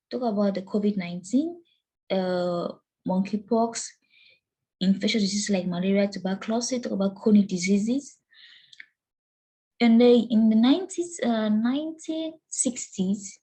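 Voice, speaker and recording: A young woman from Cameroon giving an earnest lecture presentation, pausing with fillers and restarting phrases. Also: medium pitch, warm tone, husky, low-quality recording.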